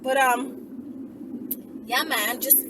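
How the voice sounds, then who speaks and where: A woman's voice: high voice, fairly even intonation, very slow, far from the mic. A woman rambling casually in an emphatic, profane storytelling manner, like a vlogger talking to followers. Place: inside a car while driving. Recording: noisy car, voice away from mic.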